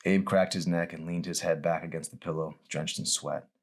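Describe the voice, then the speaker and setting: A man's voice: low voice, fairly even intonation, normal speed. A man reading his own novel aloud as scripted audiobook narration.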